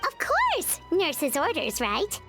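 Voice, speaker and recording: A tiny fairy sidekick from an anime-style game. The voice is extremely high and childlike, chatty and excitable, leaping wildly up and down over faint music. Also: female voice, slow, full character voice. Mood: chatty, cheerful. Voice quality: childlike, squeaky. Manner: chatty, refers to herself by name. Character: tiny chirpy excitable fairy companion girl. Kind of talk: animated video game voice acting.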